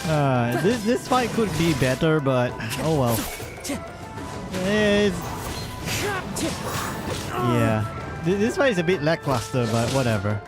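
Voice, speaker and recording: A man's voice: a little high, sing-song, slow pace, long pauses, over loud music. A man streaming live, giving casual, slangy, rambling commentary on a game.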